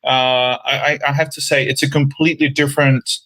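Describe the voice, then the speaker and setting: A man's voice: medium pitch, flat delivery, brisk, a bit bright, no room sound. A man from the Republic, hosting a casual interview and talking hesitantly with many fillers. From Czech Republic. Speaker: podcast host.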